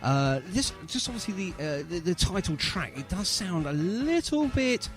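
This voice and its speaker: A man's voice: sits high, sing-song, moderate pace. A man hosting a radio show with casual, jokey, teasing chat about music.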